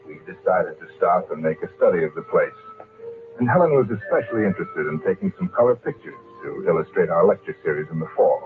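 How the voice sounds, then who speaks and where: An actor playing a grave man narrating a haunted tale in solemn, measured, portentous old-time radio style. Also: deep voice, expressive, over music. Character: grave man reading a dead friend's diary aloud. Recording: muffled, noisy old radio recording.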